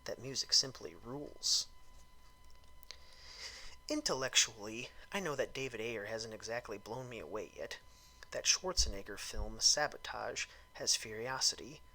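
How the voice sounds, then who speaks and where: A man performs a written review as an over-the-top dramatic reading. His voice is intense and very sharp, with a lively swooping pitch. A husky roughness runs through it, and he leans theatrically on his emphasis. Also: rough recording.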